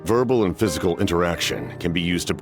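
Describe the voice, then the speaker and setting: Extremely deep, solemn, clinical male actor. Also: over music, lightly acted. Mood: grave, clinical. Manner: measured, clinical, formal. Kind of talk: English video game voice acting.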